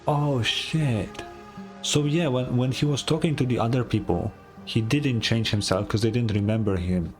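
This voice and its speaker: A man's voice: mid-range voice, normal speed, a little mellow. A man giving casual, blunt, sweary live commentary as he plays a video game, with music faintly behind. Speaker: streamer reacting to a game.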